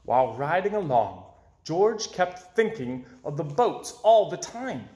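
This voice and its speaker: A young man reading a children's story aloud in an expressive way, with dramatic pauses. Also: a little high. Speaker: storybook reader. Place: small indoor room with bookshelves. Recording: roomy, echoey indoor sound.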